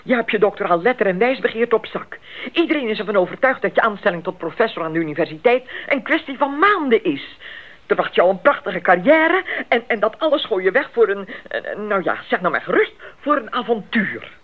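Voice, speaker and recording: A woman's voice: mid-range voice, sing-song, brisk, narrow, tinny audio. An actress playing a disapproving, fretting mother of a grown son, exasperated and insistent, in a radio drama. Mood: exasperated, scolding. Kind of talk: 1980s Dutch radio drama acting. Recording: thin band-limited old radio recording.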